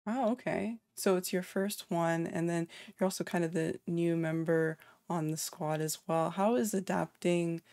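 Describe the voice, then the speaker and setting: A woman from North America hosting an online interview, asking friendly, measured questions with a few fillers. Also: lowish voice, fairly even intonation, a little mellow, dry recording, studio quality.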